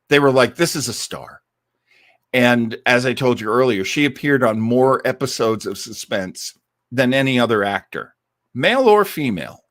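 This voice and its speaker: A man speaks in a medium-pitched voice, recorded dry with little room sound. He hosts casually, as if chatting with regular listeners. His storytelling is keen and informal. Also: a bit bright, no room sound. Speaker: host of a radio drama listening party livestream. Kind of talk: casual chat to a live audience.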